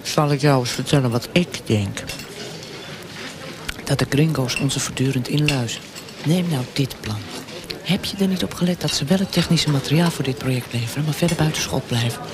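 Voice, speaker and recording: An actor playing a cynical, mocking secret service lieutenant in a radio drama, taunting and sardonic. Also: male voice, medium pitch, moderate pace, noisy background, natural voice.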